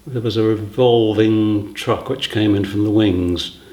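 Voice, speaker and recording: A man explaining his design work informally, in a halting, matter-of-fact way. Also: lowish voice, a little mellow. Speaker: theatre set designer. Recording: echoey room.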